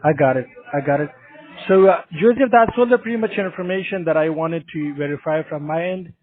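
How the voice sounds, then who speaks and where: Polite call center agent on a phone line. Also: male voice, on the high side, fairly quick. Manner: polite, agreeable, repeats "exactly". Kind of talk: scripted sales call.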